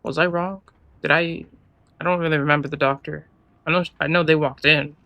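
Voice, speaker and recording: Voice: mid-range voice, fairly even intonation, a little fast, creaky, no room sound, highs cut off. A game streamer giving casual let's-play commentary in an offhand way, muttering as if to themselves. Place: indoor gaming setup, game music behind.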